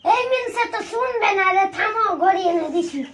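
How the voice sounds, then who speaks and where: A woman playing an exasperated, sharp-tongued village wife in a comedy skit, heard from a distance over music. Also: very high-pitched, moderate pace. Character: scolding, exasperated village wife who grudgingly relents.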